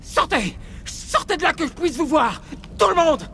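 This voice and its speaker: An actor playing a ruthless, agitated corporate official in a dramatic game dub, with a volatile, sneering, cynical delivery. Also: male voice, extremely high pitch, lively intonation, moderate pace, vocal fry, bright, forceful tone, a little hiss or hum, exaggerated voice. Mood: panicked, threatening. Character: ruthless, agitated male corporate official holding colonists hostage.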